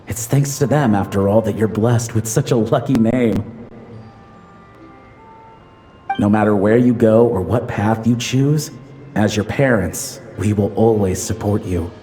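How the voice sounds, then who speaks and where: An actor playing a loving, gently teasing adventurer father in a video game, speaking with measured, sincere tenderness. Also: lowish voice, faint music behind, natural voice. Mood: tender.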